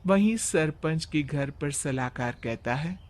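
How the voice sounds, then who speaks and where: A high-voiced man tells a scripted moral tale as narrator. He takes it slowly, setting out each part in turn. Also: expressive, darkish tone.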